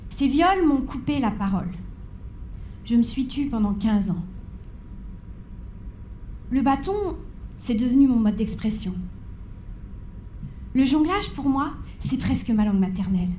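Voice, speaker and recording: A woman in her forties tells her story from a stage, her voice pitched high and carrying through an echoey hall. She shifts between dramatic build-up and wry, playful asides to the audience. A faint hum of background noise sits under her words. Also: lively intonation, measured pace, long pauses, warm tone. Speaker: conference speaker.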